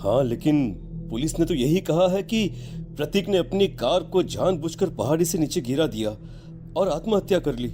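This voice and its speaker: A man's voice: a little high, lively intonation, normal speed, over music, lightly acted. A man playing a worried young friend in a cartoon story. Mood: troubled.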